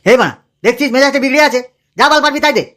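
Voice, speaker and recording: A man's voice: extremely high pitch, racing, a bit bright. A man voicing a hot-tempered, foul-mouthed young village man in a comedy cartoon, brash and crude. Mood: irritated, ranting. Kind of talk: exaggerated comedy cartoon dialogue. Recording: clearly noisy recording.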